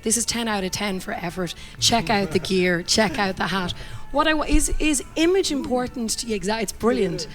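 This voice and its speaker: An Irish woman interviewing a band in a chatty, enthusiastic way, her voice a bit hoarse. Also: medium pitch, normal speed, hardly any pauses, over music. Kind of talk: casual interview.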